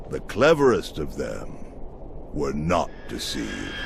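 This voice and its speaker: An actor playing a gracious, resolute military general in a video game cutscene, formal and solemn, with noise behind him. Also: male voice, low voice, exaggerated voice. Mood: solemn, oratorical. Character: stately, battle-weary male military general, gracious and resolute.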